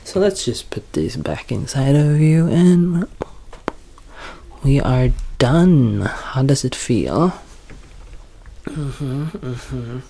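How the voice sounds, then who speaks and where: A soft-spoken young man in an ASMR roleplay as a quirky, deadpan doctor, hesitant and halting, sometimes drifting toward a whisper. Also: medium pitch, gravelly. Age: young adult. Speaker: ASMR performer playing a bumbling doctor. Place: small curtained room at home, indoors.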